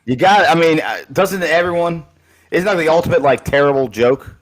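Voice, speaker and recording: A man co-hosting a live high school football podcast, chatting casually and calling his co-host buddy. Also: a little fast, poor audio. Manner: easygoing, chummy, calls co-host buddy.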